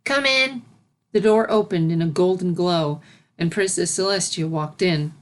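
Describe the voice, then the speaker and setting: A woman's voice: on the low side, steady tone, normal speed, darkish tone. A woman reading a fantasy story aloud as its narrator, in scripted narration. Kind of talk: scripted narration of fan fiction.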